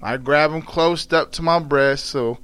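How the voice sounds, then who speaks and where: A man voicing an elderly, proud and sorrowful formerly enslaved mother, telling her story in halting folksy dialect. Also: fairly high pitch, a bit bright, smooth. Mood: sorrowful, defiant. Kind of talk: dramatic read-aloud of a dialect tale.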